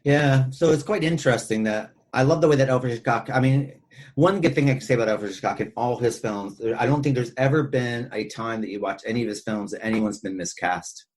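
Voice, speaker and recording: A man with a husky voice talking casually and ramblingly as a podcast host discussing film. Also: mid-range voice, a little fast, a little mellow.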